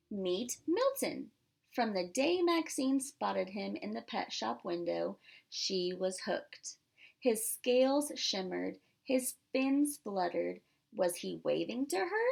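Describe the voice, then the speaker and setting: A woman reading a picture book aloud to young children, expressively emphasizing words and pausing to explain and ask questions. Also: mid-range voice, very animated, gravelly, a little mellow. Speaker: pre-K teacher.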